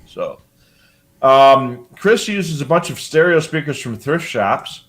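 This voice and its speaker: Casting: older man, medium pitch, hosting an informal hobby livestream, talking in a meandering, practical way. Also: expressive, slow pace, a bit bright. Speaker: live show host. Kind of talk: casual live hobby talk.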